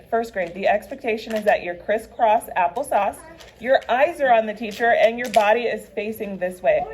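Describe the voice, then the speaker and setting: A woman working as a teacher and storyteller, speaking expressively and directly to her listeners as for a children's read-aloud. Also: fairly high pitch. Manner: animated, addresses listeners directly.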